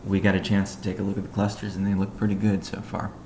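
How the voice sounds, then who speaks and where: A man giving a business briefing on a conference call as a company executive, in a measured, halting way with technical jargon. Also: sits low, completely flat delivery. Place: conference call, echoey room. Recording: echoey room, faint background noise.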